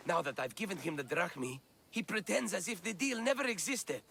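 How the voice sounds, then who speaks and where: A man's voice: high-pitched, animated, a little fast, creaky, bright, forceful tone, a little hiss or hum, heavily acted. An actor playing a weary, bitter male slave with a downcast manner.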